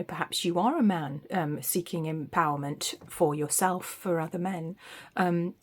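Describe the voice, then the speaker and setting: A woman giving an intuitive tarot reading to camera, meandering and reflective, with frequent ums and soft restarts, recorded on a phone. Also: on the low side. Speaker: tarot reader.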